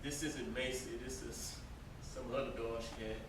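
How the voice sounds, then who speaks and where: A man's voice: medium pitch, steady tone, normal speed, natural voice. A man acting on stage as a sullen, volatile, grieving younger brother with a terse, defensive manner. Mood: subdued grief. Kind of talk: amateur stage play acting. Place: indoor stage, recorded from afar. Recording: echoey stage, distant noisy camera microphone.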